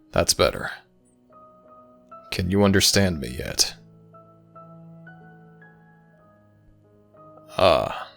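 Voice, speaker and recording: A man playing an ancient Egyptian god of the dead, gruff but caring, in a slow, intimate ASMR audio roleplay with formal, measured phrasing. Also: sits low, a bit bright, heavily acted. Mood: gentle concern. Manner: formal, measured, archaic phrasing, addressing a listener as child.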